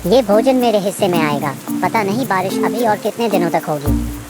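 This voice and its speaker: A woman's voice: mid-range voice, lively intonation, a little fast, close to an everyday voice. A woman voicing a domineering, scolding, quarrelsome crow in a children's cartoon story. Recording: loud music behind.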